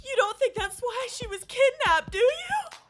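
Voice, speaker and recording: A woman's voice: very high-pitched, very animated, unhurried, a little hiss or hum, heavily acted. A woman playing an anguished, tearful mother of a missing child in a melodramatic radio drama. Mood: distraught. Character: distraught, pleading mother of a kidnapped baby.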